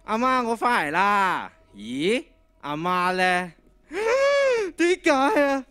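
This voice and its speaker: Teen boy as an excitable schoolboy in a radio play, voice swings all over, music behind. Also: very high-pitched, very slow, exaggerated voice. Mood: panicked. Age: teenager. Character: excitable, easily panicked schoolboy. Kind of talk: Cantonese radio drama acting. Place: on stage in a school drama competition hall.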